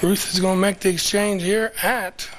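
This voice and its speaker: A man with a hoarse voice giving chatty, rambling play-by-play at an outdoor track meet for local TV. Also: high-pitched, fairly even intonation, moderate pace, a bit bright, a little hiss or hum. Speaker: local TV commentator. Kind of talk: casual play-by-play commentary.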